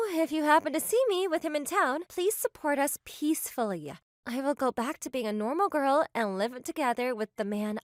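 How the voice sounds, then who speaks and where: A woman's voice: sits high, fairly bright tone, no room sound, studio quality. A woman voicing a sweet, devoted young woman in a manga dub, speaking earnestly.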